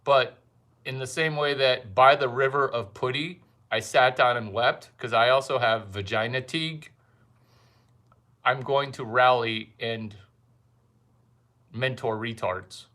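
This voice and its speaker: A man doing a rambling comic monologue, provocative and fond of repeating his catchphrases with drawn-out emphasis. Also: mid-range voice, bright, forceful tone. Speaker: comedian host.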